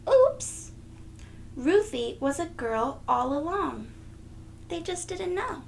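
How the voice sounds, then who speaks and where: Lady doing a kids read-aloud, really high gentle voice, bit creaky, faint noise behind her. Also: takes it slowly. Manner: expressive, gentle, addressing young children directly. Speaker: children's read-aloud host. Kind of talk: storybook read-aloud for young children.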